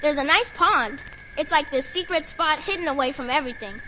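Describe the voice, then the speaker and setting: A woman's voice: high voice, fairly quick, pushed and bright, slight background noise, low-quality recording. A woman voicing a sweet, earnest little boy with a childlike voice in an audio horror drama. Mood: eager, earnest. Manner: simple, earnest child's talk.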